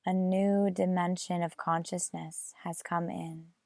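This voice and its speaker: Female meditation guide, very unhurried with long pauses, plenty of vocal fry. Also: fairly low pitch, little pitch movement, a little mellow, dry recording, studio quality. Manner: measured, with long pauses between phrases.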